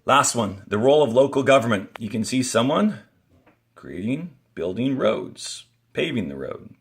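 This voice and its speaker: A man teaching young students, reading a textbook aloud in a deliberate, instructional way and adding brief explanatory asides as he goes. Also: mid-range voice, very animated, gravelly. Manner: deliberate, instructional, signposts each page and paragraph.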